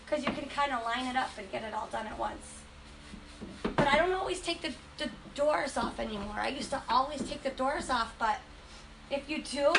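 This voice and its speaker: A woman's voice: sits high, noisy background. A woman from Western Massachusetts demonstrating furniture finishing on a livestream, chatty and enthusiastic, a bit congested from a cold. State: has a cold. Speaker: furniture painting host. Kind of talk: casual livestream demo.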